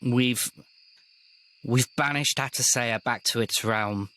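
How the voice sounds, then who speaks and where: A man's voice: medium pitch, bright, forceful tone. Casting: kindly old lady, played by a man for tabletop roleplay, nervous, faltering, hiding her worry. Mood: worried, evasive. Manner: hesitant, halting, repeats words. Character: kindly, evasive elderly woman, anxious and faltering.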